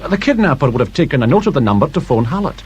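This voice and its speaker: A faintly noisy old radio drama recording carries a man acting an eager British police sergeant. His mid-pitched voice is very lively, deferential but with a cheeky, cocky edge. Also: racing, close to an everyday voice. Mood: keen, reporting. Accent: British English. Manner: eager, polite, slightly cocky. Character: keen, cheeky male detective sergeant, deferential to his boss.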